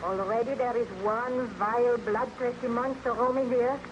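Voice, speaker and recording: Amid the noise of a vintage radio recording, a woman acts out an anxious, earnest wife. Her high voice has the pleading, dramatic delivery of an old serial. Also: fairly even intonation, moderate pace, highs cut off, natural voice. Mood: alarmed pleading.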